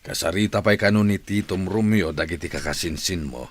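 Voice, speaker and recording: A man playing an affectionate, watchful middle-aged father in a family audio drama, with a measured paternal manner. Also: sits low.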